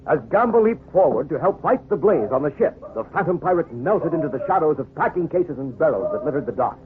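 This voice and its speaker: Animated, higher-pitched male radio narrator. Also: a little fast. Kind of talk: old-time radio dramatic scripted narration. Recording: tinny vintage radio, steady hiss.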